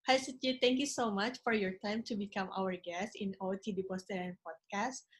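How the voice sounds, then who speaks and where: Woman podcast interviewer; plain, slightly halting question delivery. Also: medium pitch, steady tone.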